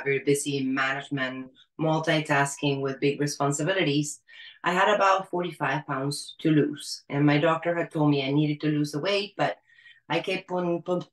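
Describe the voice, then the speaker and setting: A woman, telling her personal story as a guest in an earnest, conversational way over a video call. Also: low-pitched. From Argentina. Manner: earnest, conversational, frequent "you know" and "right?". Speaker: wellness consultant guest. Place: small room against a plain wall. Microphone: video call, earbuds with cord. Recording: echoey room on a video call.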